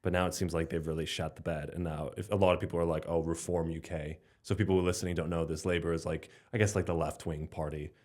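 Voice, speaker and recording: A man's voice: sits low, steady tone, quick pace, hardly any pauses, a little mellow. A young man from Slovenia chatting casually as a podcast guest, rambling with lots of fillers and restarts. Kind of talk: casual conversation.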